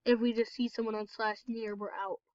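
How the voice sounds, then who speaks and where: A woman's voice sits a little high and sounds stuffed up and nasal from a cold, chatting in a loose, rambling way while she plays. The recording is dull and lo-fi, with no room sound at all. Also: flat delivery, fairly quick, a little mellow, dry recording. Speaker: gameplay video host. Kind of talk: casual game commentary.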